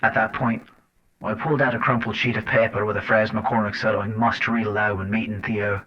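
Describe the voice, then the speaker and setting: A man's voice: on the low side, little pitch movement, a little fast, crowd noise, natural voice. Muffled, wry male agent in radio drama, played by an actor. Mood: brooding, wry. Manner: colloquial, wry, swearing. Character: sardonic, hot-tempered male agent recounting his ordeal. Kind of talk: first-person scripted drama narration. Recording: dull lo-fi cassette with music.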